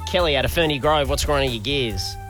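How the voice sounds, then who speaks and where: A man's voice: mid-range voice, brisk, a bit bright, faint music behind. An Australian man hosting a radio call-in show with casual banter. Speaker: breakfast radio host taking calls.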